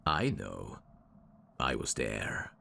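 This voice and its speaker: Male actor as sly demon courtier, ASMR audio drama, slow theatrical delivery, widely swooping intonation, sardonic, gravelly. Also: sing-song, sharp tone, a little hiss or hum, exaggerated voice. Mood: gentle, explaining. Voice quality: very deep, gravelly. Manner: theatrical, courtly, drawn-out phrasing with sardonic asides.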